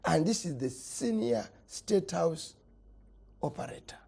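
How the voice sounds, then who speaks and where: A middle-aged Ugandan man argues his point on a political talk show, his husky voice swinging widely up and down. He hammers words by repeating them and lets each phrase sink low at its end. The tone is mellow and dark. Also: animated, measured pace. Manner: emphatic, repeats words, voice drops at phrase ends. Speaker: politician guest. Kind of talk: heated political panel debate.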